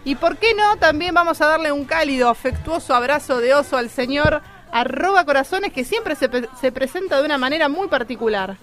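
A woman's voice: sits high, expressive, fairly quick, few pauses, fairly bright tone. A woman with an Argentine accent hosting a comedy radio show in a playful, teasing way. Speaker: radio host.

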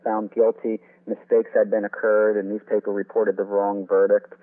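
A man's voice: fairly low pitch, little pitch movement, normal speed. A man being interviewed as an author and historian, giving detailed, wordy explanations that tend to ramble. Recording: tinny phone-like line.

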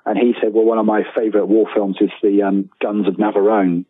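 Husky low-voiced man on a tinny line. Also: level tone, a little fast, soft background music. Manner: rambling, self-deprecating, trailing restarts and quoted asides. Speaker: interview guest, freelance writer. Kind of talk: casual interview chat. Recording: tinny phone-like line with room echo.

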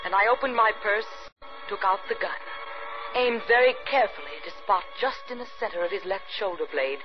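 An actress in 1950s radio suspense style, playing a cold, calculating wife who murders her husband, composed and deliberate. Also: medium pitch, natural voice. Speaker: actress narrating in first person. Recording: muffled vintage radio recording with music.

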